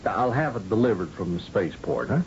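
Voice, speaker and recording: An actor playing a folksy, jovially patronizing and evasive governor in an old-time radio drama. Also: male voice, fairly low pitch, expressive, a little fast, a little mellow, natural voice. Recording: dull, noisy old radio recording.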